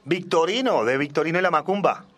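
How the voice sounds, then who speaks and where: A man's voice: fairly high pitch, lively intonation, brisk, a bit bright. A man in his forties from Australia, a native English speaker, chatting casually as a musician guest in a radio interview. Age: 40s. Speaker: salsa singer and trombonist, guest.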